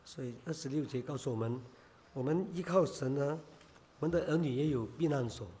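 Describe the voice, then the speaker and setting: A man preaching a sermon in Chinese, hoarse from a cold, halting with many filler sounds. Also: mid-range voice, warm tone, a little hiss or hum.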